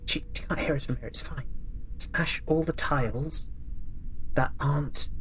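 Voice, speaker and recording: A man's voice: on the high side, measured pace, a bit bright, low-quality recording. A man playing a tabletop role-playing game, chatting casually in character and often repeating words and phrases. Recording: faint background noise.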